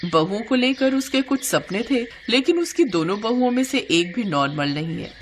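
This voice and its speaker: A woman's voice: on the high side, very animated, moderate pace, slight background noise. A woman delivering scripted story narration as the storyteller.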